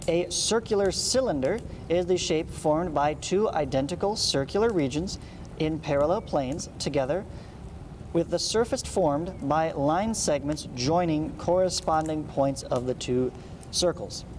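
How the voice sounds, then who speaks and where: A man's voice: fairly high pitch, a little mellow. Male math teacher over background noise. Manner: explanatory, frequent check-in tags like "right?" and "okay?". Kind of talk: read-along lesson with asides.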